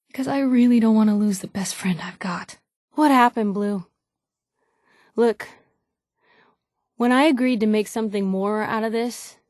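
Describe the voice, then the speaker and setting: A feisty young newspaper reporter, played by a woman, with a fairly high voice and a wry, slangy way of talking. She is an expressive, loyal game companion, recorded dry with no room sound. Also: dry recording, natural voice. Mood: worried, pleading, then hurt, sad. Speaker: voice actor for a game companion character. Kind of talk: video game companion dialogue, conversational acting.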